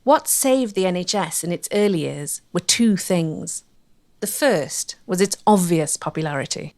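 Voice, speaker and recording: A woman's voice: fairly low pitch, expressive, measured pace, darkish tone. Woman narrating a history documentary.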